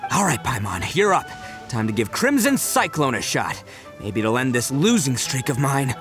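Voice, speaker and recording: An actor playing a brash, big-hearted young gang leader in a video game, boisterous and colloquial with boyish bravado. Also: male voice, mid-range voice, very animated, moderate pace, fairly bright tone. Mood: excited, boisterous. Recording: faint game music behind.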